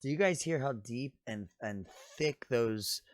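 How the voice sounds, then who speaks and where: A man in his forties with a deep, raspy voice, chatting enthusiastically and casually as he reacts to music. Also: expressive, measured pace.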